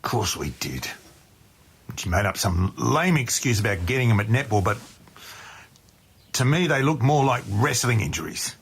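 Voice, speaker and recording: A man's voice: medium pitch, lively intonation, normal speed, creaky, pauses often, fairly bright tone, breathy edge, full character voice. An actor playing an angry, blunt middle-aged father, protective and accusing, in an audio drama. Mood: scornful. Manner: blunt, emphatic.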